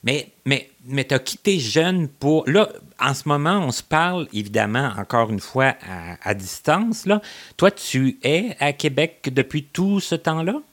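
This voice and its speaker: A man's voice: fairly high pitch, lively intonation, unhurried, fairly bright tone. A man hosting a casual interview, chatty with many fillers and restarts. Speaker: interview host.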